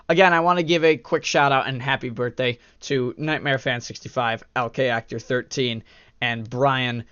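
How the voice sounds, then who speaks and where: A man's voice: medium pitch, no room sound, lo-fi sound. A young man hosting a game video, chatting casually and upbeat with fillers, sounding stuffed up from allergies. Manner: upbeat, chatty, shout-outs with many fillers. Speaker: gaming channel host. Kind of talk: casual let's-play commentary.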